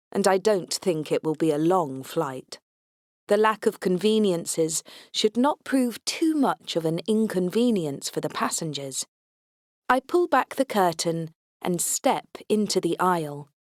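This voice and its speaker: A woman's voice: on the low side, expressive, measured pace, dry recording, studio quality. A woman reading a science fiction comedy audiobook aloud in the first person, with a wry, tongue-in-cheek delivery. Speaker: audiobook narrator.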